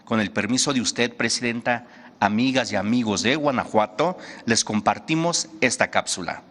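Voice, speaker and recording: A man of middle pitch delivering a measured, ceremonial address. His voice carries a long reverb from a large hall. The tone stays formal and solemn throughout. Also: fairly bright tone, a little hiss or hum. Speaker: official presenting a segment at a press conference.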